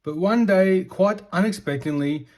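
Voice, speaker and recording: A man reads a children's storybook aloud in expressive narration. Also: high voice, unhurried. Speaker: storybook reader.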